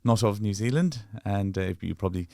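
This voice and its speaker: A middle-aged man speaks as an interview guest, calm and friendly, telling his story at an even pace. His voice sits very low and carries a strong gravelly fry. The room sound is dry and close. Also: brisk, no room sound. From born in Bangladesh, raised in England. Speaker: guest, sports science professor. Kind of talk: relaxed interview conversation.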